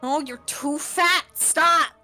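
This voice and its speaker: A woman's voice: high voice, slow, bright, forceful tone, soft background music. A woman bantering casually and teasingly as a player on a tabletop game stream. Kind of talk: casual teasing group chat.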